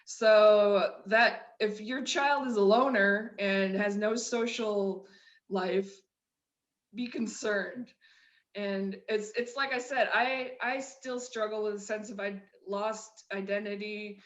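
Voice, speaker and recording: A middle-aged woman with a flat Midwestern accent shares her story as a podcast guest. She talks candidly and loosely, restarting her sentences often. Her voice has a roomy echo around it. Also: medium pitch, slow pace. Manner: candid, rambling, with frequent restarts and laughs. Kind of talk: personal storytelling in a conversation.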